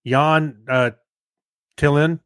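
A man's voice: fairly high pitch, fairly even intonation, very slow, a bit bright, clean tone, no room sound, clean studio sound. A man co-hosting a podcast, reading out items and chatting casually. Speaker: podcast co-host. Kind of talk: casual announcing and banter.

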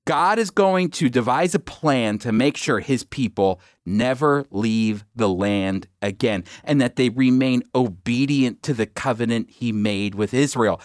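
A man's voice: mid-range voice, unhurried, few pauses, dry recording, clean studio sound. A man teaching the Bible on a radio program, emphatic, with rhetorical asides to his listeners.

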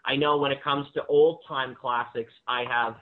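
A man talks casually as an interview guest over a narrow, phone-like connection. His voice sits at a medium pitch, and he rambles along with enthusiasm. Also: fairly even intonation, moderate pace. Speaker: guest, audio drama writer and director. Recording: narrow-band phone-like line.

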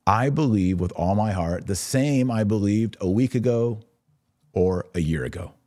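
A man's voice: on the low side, moderate pace, a little mellow. A middle-aged man giving religious commentary as a podcast host, earnest and emphatic, stressing key words as he addresses viewers directly. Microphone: webcam setup.

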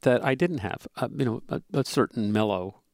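A man in his seventies talking in a measured, hesitant way with many fillers, as a podcast co-host in casual conversation. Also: medium pitch, expressive, a little mellow, dry recording, clean studio sound. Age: 70s.

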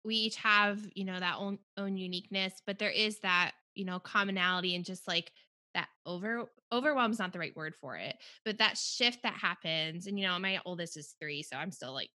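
Bright-voiced young woman co-host. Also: on the low side, moderate pace, dry recording, studio quality. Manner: thoughtful, searching for words. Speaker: podcast co-host. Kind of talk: casual faith-based chat.

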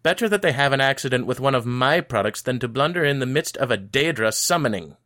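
Grumpy old shopkeeper wizard, voiced by a male narrator, irritable and dismissive, sharp edge to the tone. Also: medium pitch, lively intonation, quick pace, voice pushed up, natural voice. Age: older. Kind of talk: audiobook character voice.

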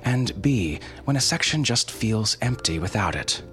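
Deep-voiced male podcast host over music. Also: expressive, unhurried, fairly bright tone. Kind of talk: scripted explanatory talk answering listener questions.

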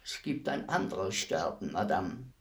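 An actor playing a world-weary, philosophical executioner in a radio play, speaking in a deliberate, brooding, respectful way. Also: male voice, low voice, sing-song, vocal fry, heavily acted. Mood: quiet, grave. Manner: deliberate, brooding, formally addressing a lady. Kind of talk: radio play acting, slow reflective monologue.

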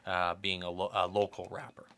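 A man's voice: sits low, level tone, fairly quick, fairly bright tone, clean tone. A middle-aged man chatting casually as a podcast guest, telling stories in a self-interrupting way.

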